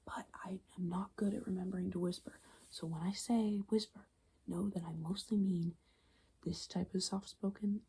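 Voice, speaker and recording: A woman host speaking in a soft-spoken ASMR style that slips into whispers, rambling and confiding in a conversational way. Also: lowish voice, creaky, breathy edge. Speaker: ASMR video creator and host.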